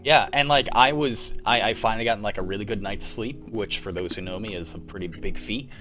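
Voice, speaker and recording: A man's voice: lowish voice, a little fast, music in the background. A man telling rambling stories full of fillers in a casual group chat on a livestream. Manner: rambling, filler-heavy storytelling. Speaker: radio drama troupe member leading the chat.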